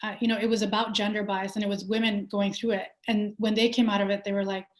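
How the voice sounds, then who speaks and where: A woman's voice: mid-range voice, level tone, fast. A young adult woman from Pakistan, a company founder answering interview questions in a thoughtful way, with many fillers and restarts. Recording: roomy echo.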